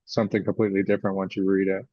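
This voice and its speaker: An older American man answering interview questions as a guest author, conversational and a little halting as he thinks his answers through. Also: low-pitched, level tone, no room sound. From United States, California. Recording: dull lo-fi audio.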